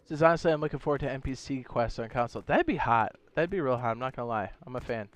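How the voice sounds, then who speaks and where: A man streaming a game, chatting casually and reading viewer comments, hoarse with a sore throat and losing his voice, often clearing his throat. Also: mid-range voice, quick pace. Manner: laid-back, slangy, frequent throat clearing.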